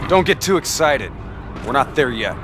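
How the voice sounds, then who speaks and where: A man acting as a gruff, cynical, sardonic soldier in a cinematic military game. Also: mid-range voice, big pitch swings, moderate pace, over music, lightly acted.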